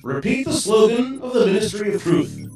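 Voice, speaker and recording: A woman playing a commanding, merciless telescreen of a totalitarian state in a radio drama, stern and declamatory through a loudspeaker effect. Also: a little high, animated, moderate pace, over music. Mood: stern interrogation. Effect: echoing public-address loudspeaker. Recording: heavily echoing, distant loudspeaker sound.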